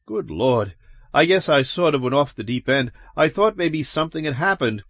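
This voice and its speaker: A man's voice: medium pitch, measured pace, dry recording, pitched above the performer's own voice. A male narrator voicing an agitated, bewildered ordinary man in a dramatic story reading. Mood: relieved, nervous. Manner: urgent, pleading, excitable.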